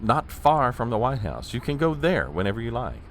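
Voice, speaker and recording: An actor playing a fussy, anxious, long-suffering presidential aide in a comedic audio drama. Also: male voice, medium pitch, sing-song, moderate pace, fairly bright tone, slight background noise. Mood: patient explaining. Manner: fussy, deferential, hesitant.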